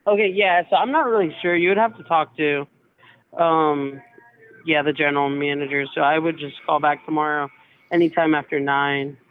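A man's voice: fairly high pitch, steady tone, moderate pace, clean tone. A young man on a telephone call, speaking casually and hesitantly as a hotel employee, over a tinny, narrow line. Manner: hesitant, filler-heavy, informal. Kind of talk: casual phone conversation.

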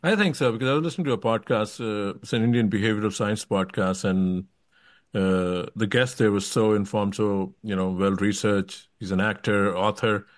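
A man's voice: fairly low pitch, fairly even intonation, normal speed, no room sound. Man interviewing in a rambling way, dry sound. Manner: rambling, digressive, self-qualifying. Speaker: podcast host, interviewer. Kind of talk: casual conversational interview.

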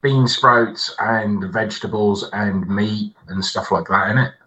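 A man's voice: on the low side, steady tone, a little slow, bright, forceful tone. A British man in his late forties chatting casually as a podcast co-host, on a dull mic. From United Kingdom. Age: late 40s. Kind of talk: casual chat.

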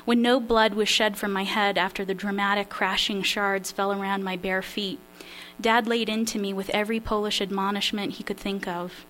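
A woman reads from her own memoir at a literary event, her voice at a middle pitch. She is slightly nervous and self-deprecating, pausing with small fillers between passages. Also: level tone, a bit bright, low-quality recording. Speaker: memoir writer reading her work.

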